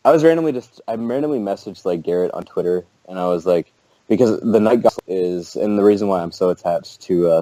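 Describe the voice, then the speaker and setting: A young man with a very low, deep voice talks loosely on a podcast, stringing thoughts together with plenty of "like" and "you know." The sound is close and dry, with no room around it. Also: darkish tone, no room sound, rough recording. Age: young adult. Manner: rambling, many fillers and restarts, laughs often. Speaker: podcast guest. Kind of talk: casual podcast chat.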